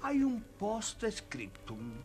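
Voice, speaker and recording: A man's voice: high voice, huge pitch swings, very slow, music in the background, exaggerated voice. An actor playing a learned, servile male sage in a children's story record, muttering mock Latin with theatrical ceremony. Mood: ceremonious, learned.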